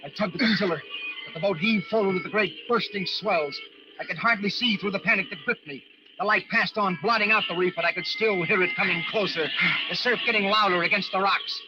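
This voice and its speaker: Desperate lighthouse keeper , male actor, high intense voice, dramatic storytelling, muffled vintage radio sound with music behind. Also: a little fast, natural voice. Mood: tense, urgent. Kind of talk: old-time radio drama acting.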